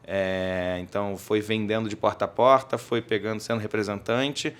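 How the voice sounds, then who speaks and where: A man's voice: fairly low pitch, steady tone, moderate pace. A Brazilian man, a guest on a podcast, explaining in a casual conversational way with many fillers, recorded in a podcast studio. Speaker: podcast guest, tech executive.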